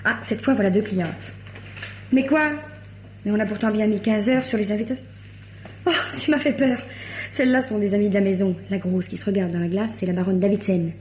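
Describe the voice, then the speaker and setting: A woman playing a bossy, quick-talking couture saleswoman. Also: mid-range voice, a little mellow, a little hiss or hum, close to an everyday voice. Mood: bossy chatter. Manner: brisk, scolding, gossipy. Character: bossy, chatty woman working at a couture house. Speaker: fashion-house saleswoman. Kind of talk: 1950s French radio drama acting. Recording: dull old radio archive.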